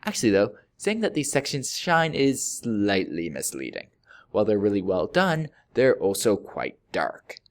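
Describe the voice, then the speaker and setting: Male narrator for a scripted review: highly varied intonation, dry humour, pointed pauses for emphasis. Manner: wry, deadpan joking with emphatic pauses. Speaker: video reviewer.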